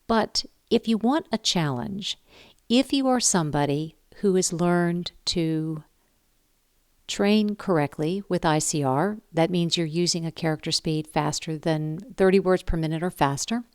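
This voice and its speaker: Woman, lowish pitch, casual vlog talk, earnest, encouraging, emphatic repetition, dry room sound. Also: unhurried, smooth, dry recording. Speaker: ham radio video host. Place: indoor room downstairs at home.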